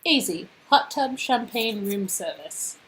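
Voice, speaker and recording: A cool young woman from a story game played by a woman reader. She is blunt and sure of herself. Her delivery is dry and slow. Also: medium pitch, expressive, fairly bright tone, breathy edge, pitched below the performer's own voice, heavily acted, poor audio. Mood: blasé.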